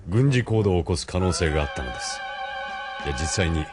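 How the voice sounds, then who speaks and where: An actor in a Japanese audio drama playing a cool, formally polite military colonel who speaks in a measured, probing way. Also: male voice, sits low, expressive, loud music behind the voice. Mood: composed, formal.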